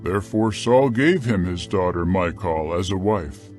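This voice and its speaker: A man reads scripted scripture narration in a measured storytelling manner. His voice sits a little low and is very expressive in pitch, with faint music behind him.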